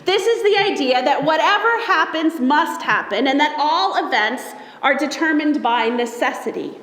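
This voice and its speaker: High-pitched woman preaching in an echoing hall. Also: lively intonation, normal speed, fairly bright tone. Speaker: pastor. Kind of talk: sermon read aloud from a text. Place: church sanctuary during a service. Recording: echoey church hall with long reverb.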